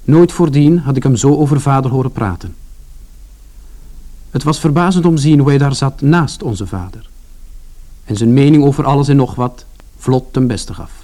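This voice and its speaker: An actor as mellow-voiced brother narrating a family tale. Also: male voice, mid-range voice, close to an everyday voice. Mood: wry, reflective. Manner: measured, storytelling. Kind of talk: scripted radio drama narration.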